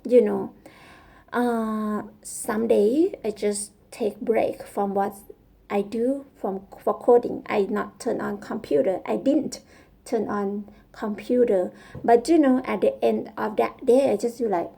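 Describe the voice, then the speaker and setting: A woman with a Thai accent, speaking English as a second language in a casual practice vlog, halting and searching for words with frequent restarts. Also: medium pitch, fairly even intonation, vocal fry, mellow, husky. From Thailand. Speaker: vlogger.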